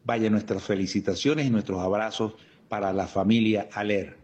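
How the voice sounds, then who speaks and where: A man from Venezuela records a greeting for a radio network. His voice sits on the low side. He stays upbeat, poses rhetorical questions and moves through long lists. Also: steady tone, normal speed, darkish tone, muffled recording. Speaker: radio contributor.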